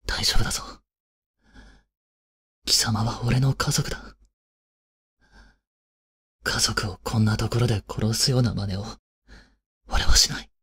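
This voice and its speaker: Man acting a husky, imperious low-voiced prince. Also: steady tone, unhurried, long pauses, a bit bright, heavily acted. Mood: tense, tender. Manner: imperious, curt. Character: cold, haughty young prince scolding and fretting over his maid. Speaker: voice actor. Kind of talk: Japanese audio drama acting.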